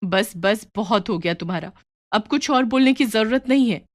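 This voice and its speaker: A woman's voice: a little high, expressive, moderate pace, no room sound, clean studio sound, lightly acted. A woman voicing a touchy, offended elder sister who scolds defensively in a moral story. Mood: offended, angry.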